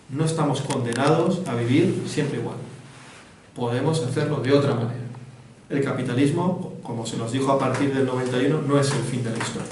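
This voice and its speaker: A man's voice: mid-range voice, darkish tone. Lecturing man in an echoey hall. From Venezuela. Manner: didactic, often checks with "¿no?" and "¿me explico?". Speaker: book presenter, foundation director. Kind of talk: informal public talk on Marxist theory. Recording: very echoey room, distant mic, faint noise.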